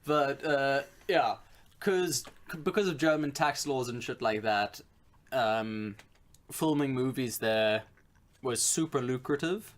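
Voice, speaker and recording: This is a man with a medium-pitched voice, co-hosting a casual podcast. He talks in a jokey, sardonic manner. He swears casually as he goes. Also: slow. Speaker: podcast co-host. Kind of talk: casual chat.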